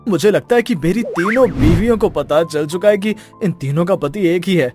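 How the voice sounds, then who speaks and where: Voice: mid-range voice, animated, moderate pace, darkish tone, soft background music, natural voice. A performer voicing Pradeep, a sneaky young husband who keeps three wives secret from one another and wriggles out of every tight spot, in a cartoon story. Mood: worried. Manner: sneaky, talks his way out of trouble. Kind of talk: cartoon voice acting.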